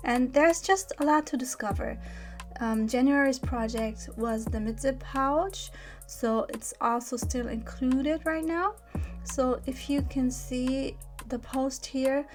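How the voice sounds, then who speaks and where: A woman with a German accent, a native German speaker, explaining a website step by step in a patient, casual way with many small fillers and check-ins. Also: a little high. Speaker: bag pattern designer. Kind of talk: screen-recorded website walkthrough. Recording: voice-over with music behind. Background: background music.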